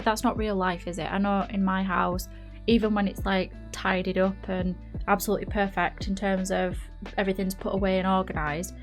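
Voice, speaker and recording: A woman chats through a game build voice-over in a mid-pitched voice, a little nasal from a blocked nose. She rambles and doubts herself, full of fillers. Quiet music plays under her voice. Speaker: YouTuber narrating a game build. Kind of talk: casual chatty voice-over. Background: faint background music.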